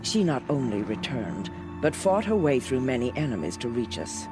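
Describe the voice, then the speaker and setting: An earnest male warrior of a tribe, voiced by a man in a video game. He declaims with lively, wide intonation at a medium pitch. Music is clear behind him. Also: normal speed, lightly acted. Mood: proud.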